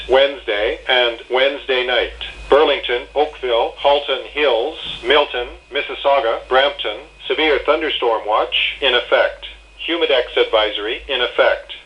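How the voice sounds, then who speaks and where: A synthetic male announcer with a medium-pitched voice delivers an automated, scripted weather bulletin. The phrasing is segmented, item by item. It comes through a dull, lo-fi radio broadcast.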